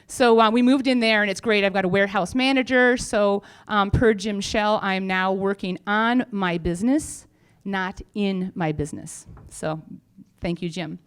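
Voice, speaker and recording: A woman's voice: mid-range voice, moderate pace, smooth. A woman company founder giving an informal update on stage, speaking in a frank, humorous, chatty way. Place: on stage at a live event.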